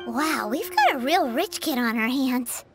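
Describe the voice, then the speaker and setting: A woman's voice: sits high, big pitch swings, measured pace, music in the background, exaggerated voice. A woman voicing a tiny, chirpy, bossy childlike fairy companion in a video game. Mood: surprised, teasing. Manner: refers to herself by name. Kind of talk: animated video game voice acting.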